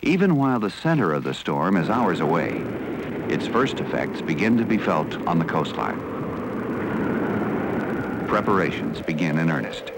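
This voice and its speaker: An American man reading scripted educational film narration in a measured, formal, serious manner. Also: sits low, very animated, very noisy. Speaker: documentary narrator.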